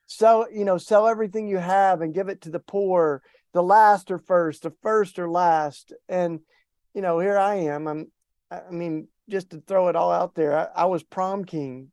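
A middle-aged man with an East Tennessee Southern accent speaks in a folksy, relaxed way. His talk rambles gently and is full of restarts. The sound is dry and close, with little room around his voice. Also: high voice, unhurried, dry recording. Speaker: guest. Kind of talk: casual interview talk.

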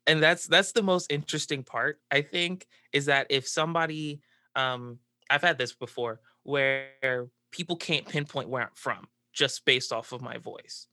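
A man's voice: medium pitch, unhurried, pushed and bright, no room sound, studio quality. A young man from the southern United States speaks thoughtfully in a casual discussion, reflecting on his experiences and sometimes searching for words as a student panelist. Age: young adult.

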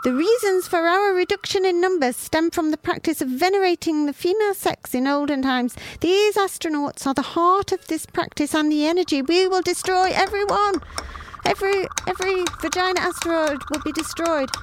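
A woman voicing a pompous, chauvinist male alien warlord villain, sneering and preachy in manner, over steady background noise. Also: very high voice, normal speed, pitched above the performer's own voice.

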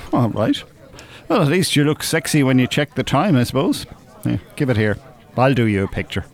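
A worldly older Irishman in a radio play. He is dry, teasing and opinionated, with a lively rise and fall in his voice and a blunt, cynical streak. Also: mid-range voice, moderate pace. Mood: teasing, amused. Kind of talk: naturalistic radio drama acting.

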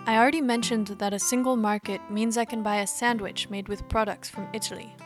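A woman hosting a podcast, giving measured, explanatory scripted narration. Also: a little high, steady tone, music in the background. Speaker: podcast host.

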